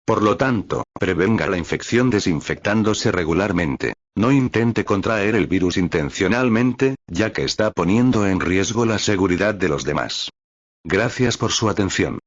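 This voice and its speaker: A man's voice: low voice, animated, normal speed. A man reading a scripted emergency broadcast announcement in a formal, instructional manner, on a lo-fi recording. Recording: dull, lo-fi, dry audio.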